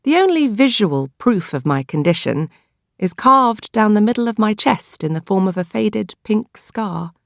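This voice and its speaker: A woman with a British accent narrates a novel in the first person, in a measured, expressive storytelling manner. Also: medium pitch, gravelly, no room sound, studio quality. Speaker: audiobook narrator.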